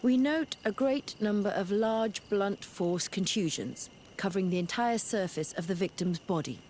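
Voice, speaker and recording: An actress playing a scholarly, detached physician in a video game, formal and exact in speech. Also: female voice, mid-range voice, animated, normal speed, darkish tone, a little hiss or hum, natural voice. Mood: clinical, assured. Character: clinical, scholarly female physician, detached and precise. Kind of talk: video game cutscene acting.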